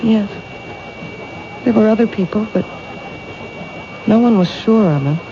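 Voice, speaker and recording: An actress playing a frightened female witness who speaks softly and falteringly in a 1970s radio drama. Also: mid-range voice, unhurried, close to an everyday voice. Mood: timid. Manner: soft, faltering, hesitant. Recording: dull lo-fi vintage radio broadcast with hiss.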